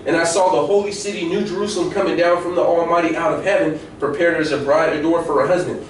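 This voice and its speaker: Echoey, higher-voiced American man preaching. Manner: colloquial, emphatic, repeats phrases for stress. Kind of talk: informal sermon with scripture reading. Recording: very echoey room, distant mic, faint noise.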